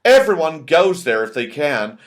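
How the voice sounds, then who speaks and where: A man's voice: on the high side, sing-song, unhurried. A man doing jolly old Moonface. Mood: enthusiastic. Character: cheerful old moon-faced magical man.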